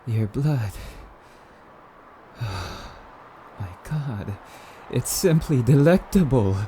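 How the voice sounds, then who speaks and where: A man's voice: medium pitch, animated, very slow, background noise. A man playing a courtly, wistful male vampire in an ASMR roleplay, speaking in a musing, slightly archaic, haughty yet polite manner. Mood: delighted, hungry. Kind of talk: ASMR roleplay monologue addressed to listener.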